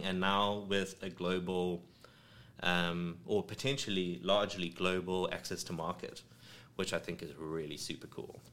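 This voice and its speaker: Rambling low-voiced man from South Africa. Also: sharp tone. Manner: rambling, many ums and restarts. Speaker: creative studio head, guest. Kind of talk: casual interview chat. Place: home studio. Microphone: studio microphone.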